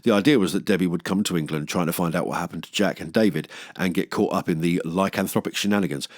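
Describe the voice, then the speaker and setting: A man's voice: low voice, fast talker, dry recording, clean studio sound. A man hosting a film review show, reading scripted narration with jokey, theatrical asides.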